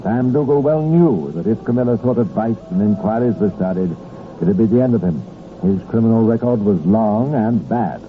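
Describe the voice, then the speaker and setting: A man with a fairly low voice narrates a vintage radio crime story. He is measured and drily wry, reading scripted prose on a thin old recording with faint hiss. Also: expressive. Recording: tinny, lightly noisy old radio recording.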